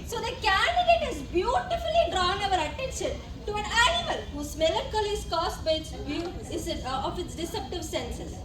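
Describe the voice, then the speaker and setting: A woman's voice: very high-pitched, expressive, a little fast, hardly any pauses. A woman with an Indian English accent playing a bombastic, scheming teacher in an amateur comic stage play, theatrical, declamatory and mock-solemn. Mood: pompous reasoning. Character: bombastic, scheming physics teacher running a sham oral exam. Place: college event stage, distant microphone. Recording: noisy echoey stage, far from mic.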